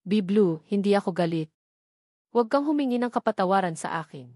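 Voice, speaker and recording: A woman's voice: medium pitch, lively intonation, a little fast, darkish tone, dry recording, clean studio sound, natural voice. A woman with a Filipino accent voicing a remorseful, loving young wife in a romance audiobook, tender and apologetic. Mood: tearful, apologetic.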